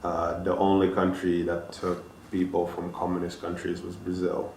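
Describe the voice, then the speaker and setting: A deep-voiced man in his thirties from Finland, a native Swedish speaker, answering interview questions in a calm, level tone. He talks in a relaxed, reflective way and restarts his sentences. The lapel mic picks up a roomy echo with a faint hum of ambience. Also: moderate pace. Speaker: guest. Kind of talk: casual interview talk. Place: small studio room, seated in armchair.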